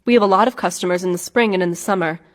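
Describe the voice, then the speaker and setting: A woman reading scripted practice dialogue for English learners in a slow, clear, even manner. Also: on the low side, long reverb tail. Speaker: dialogue reader in English lessons.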